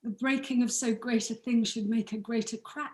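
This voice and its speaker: A woman's voice: a little high, fairly even intonation, moderate pace, darkish tone, natural voice. A woman playing a commanding ruler in a Shakespearean radio play. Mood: stern, stunned. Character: Octavius Caesar, commanding male ruler, shaken. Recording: echoing, roomy sound.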